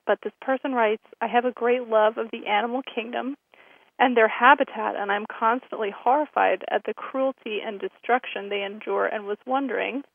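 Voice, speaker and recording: A woman hosting a radio show, hoarse from a cold, giving friendly announcements with many fillers. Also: fairly high pitch, no room sound. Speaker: host. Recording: thin phone-line sound.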